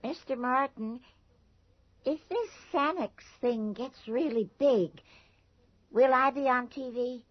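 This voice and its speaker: A woman's voice: high-pitched, takes it slowly, dry recording. An actress playing an anxious, gossipy rural townswoman in a 1980s radio horror drama, speaking in a folksy, ominous way. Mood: chatty, eager. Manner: folksy ungrammatical speech, hushed and ominous. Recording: dull lo-fi radio drama recording.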